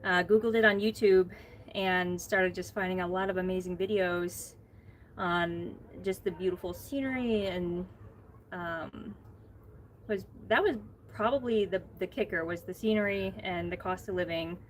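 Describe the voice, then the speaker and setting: An American woman co-hosting a casual livestream, talking in a relaxed, thoughtful way. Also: lowish voice, moderate pace, slight background noise, rough recording. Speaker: co-host. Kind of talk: casual live chat.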